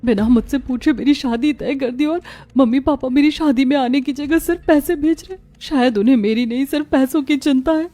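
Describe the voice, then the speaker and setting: A woman's voice: high-pitched, a little fast, mellow, natural voice. A woman voicing a meek, wounded young bride in a Hindi cartoon story, plaintive and polite. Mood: hurt, resentful.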